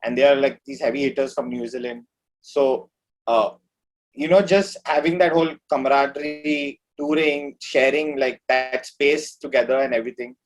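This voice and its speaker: A man's voice: mid-range voice, steady tone, normal speed, rough recording. A man talking informally as an interview guest, rambling along in a casual chat. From India. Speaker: metal musician, interview guest.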